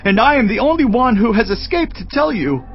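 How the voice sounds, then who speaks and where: A man's voice: very high voice, expressive, fairly quick, soft background music. A man voicing a frantic messenger bringing disaster news in a dramatized scripture reading. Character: frantic male messenger, young adult. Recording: dull lo-fi recording.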